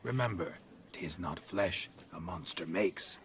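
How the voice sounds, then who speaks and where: An actor playing a gruff, stoic monster hunter in a fantasy game, flat and laconic in delivery. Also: male voice, low voice, a little slow. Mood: solemn recital. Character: gruff, stoic monster-hunting witcher, adult man, dry-humored.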